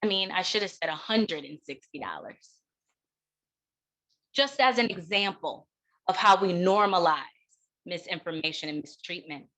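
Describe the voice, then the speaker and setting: Bright, forceful woman giving a keynote. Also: fairly low pitch, animated, rough recording. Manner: deliberate, emphatic, dramatic pauses. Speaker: keynote speaker, counseling clinician. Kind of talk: keynote speech.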